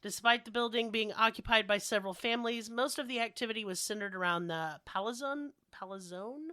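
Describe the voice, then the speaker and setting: High-voiced, foul-mouthed woman telling stories. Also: brisk, sharp tone, no room sound, studio quality. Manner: profane, sardonic ranting. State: sick with a cold-like illness. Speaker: podcast host. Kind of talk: casual comedic storytelling.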